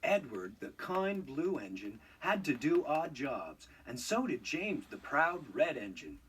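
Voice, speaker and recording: A man narrating a children's read-along storybook in an expressive, scripted storytelling style. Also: fairly high pitch, a little slow, husky, distant mic, poor audio.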